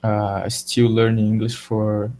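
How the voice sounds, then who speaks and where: A Brazilian man with a Portuguese accent hosting a live video chat in English, halting and searching for words. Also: fairly low pitch, flat delivery, darkish tone. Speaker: live show host.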